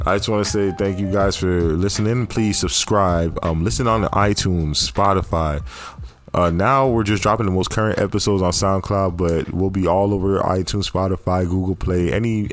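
A man's voice: deep voice, no echo. A man hosting a podcast, chatting casually in a slangy, blunt, teasing way. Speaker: podcast host.

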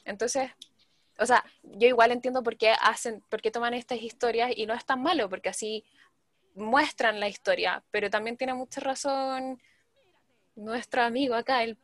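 A woman's voice: a little high, steady tone, normal speed, no echo. A woman with a Chilean Spanish accent, speaking casually as a panelist in a debate. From United States and Chile. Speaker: discussion panelist.

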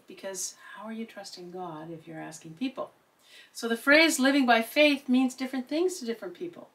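A woman's voice: mid-range voice, fairly bright tone. Older woman, earnest, emphatic, missionary talk, slightly distant mic. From Seattle, United States. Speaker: missionary speaker. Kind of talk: prepared talk.

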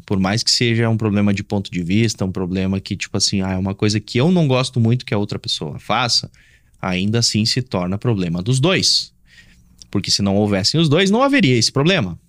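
A man's voice: fairly low pitch, a bit bright, no echo, studio quality. A man with a southern Brazilian accent giving casual, reassuring relationship advice as a host.

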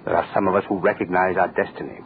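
An actor playing a cold, power-hungry state official in theatrical, menacing, gloating 1940s radio drama style. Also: male voice, sits low, steady tone, fast, lightly acted. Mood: grandiose, gloating. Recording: tinny, hissy old radio recording.

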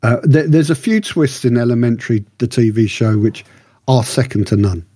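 Male podcast reviewer, husky and mellow, chatty, rambling delivery with keen interest. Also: medium pitch, normal speed, no room sound, clean studio sound. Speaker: podcast co-host reviewing books and radio dramas. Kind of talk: casual review chat.

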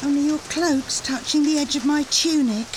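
A prissy woman, played by an actress in a comedy audio drama, with clear rain noise and clicks around her. Her voice is high and she sounds easily offended. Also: normal speed, lightly acted.

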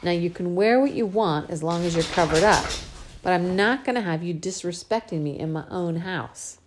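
A woman's voice: on the low side, normal speed, slight background noise, lightly acted. A woman reading a loving but stern middle-aged father in an audiobook, scolding then tender, with folksy slang. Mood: firm. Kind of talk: audiobook character voice.

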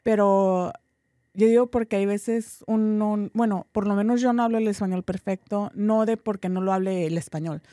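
A woman's voice: medium pitch, steady tone, moderate pace, warm tone, no room sound, clean studio sound. A woman from Tijuana with a Tijuana Mexican Spanish accent, co-hosting a podcast in blunt, slangy, laugh-filled casual chat. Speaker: podcast co-host.

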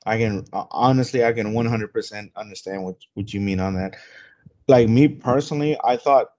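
A man's voice: on the low side, brisk, a little mellow. Rambling man, Spanish native, lo-fi. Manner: rambling, many fillers and restarts, swears casually. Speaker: podcast co-host. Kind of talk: casual podcast chat. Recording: dull lo-fi sound.

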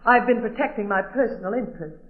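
An actress playing a cold, determined female killer with formal, deliberate menace in an old radio drama. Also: mid-range voice, lightly acted. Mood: defensive, confessing. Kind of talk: 1940s radio drama acting. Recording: tinny, band-limited old radio recording.

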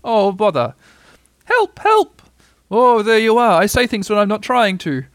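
A gentle toy bear of very little wit, played by a male storyteller. He puzzles things out earnestly and hesitantly. The pitch wanders widely and the sound is close and dry. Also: wildly swooping pitch, unhurried, no echo, exaggerated voice. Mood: bothered, flustered. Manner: bumbling, hesitant, earnestly thinking aloud. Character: slow-witted, gentle, kindly, muddled toy bear.

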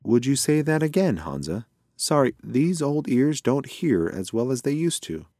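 A man voicing a kindly, frail elderly male mouse in a children's storybook, gentle and apologetic as he rambles. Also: mid-range voice, very animated, normal speed, no echo, studio quality, pitched above the performer's own voice. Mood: gentle, cheerful.

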